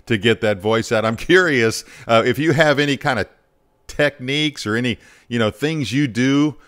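A man's voice: medium pitch, normal speed, fairly bright tone, clean tone. A man in his fifties hosting a conversational sports interview, speaking into a close broadcast mic. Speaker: podcast host, sports broadcaster. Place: home studio in a furnished den. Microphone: close broadcast mic on a boom arm.

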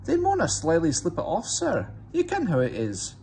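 A cheeky young steam engine from a storybook, as a male reader plays him, speaking in a Scottish accent. The voice is pitched high, with polite mock innocence. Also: wildly swooping pitch, normal speed, gravelly, a little mellow, a little hiss or hum, exaggerated voice. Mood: mock innocent.

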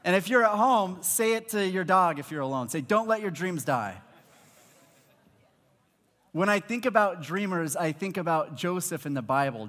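A man in his thirties, a pastor from Malawi, preaching a sermon on stage with a headset mic and acting out the voices in his stories. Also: fairly high pitch. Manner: animated storytelling preacher, acts out quoted voices. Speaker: high school pastor. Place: large church auditorium stage with audience. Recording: long reverb of a large hall.

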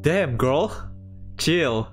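A streamer giving casual, halting commentary while playing a visual novel and reading it aloud. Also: medium pitch, expressive. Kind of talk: casual game commentary mixed with read-aloud dialogue. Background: guitar music from the game.

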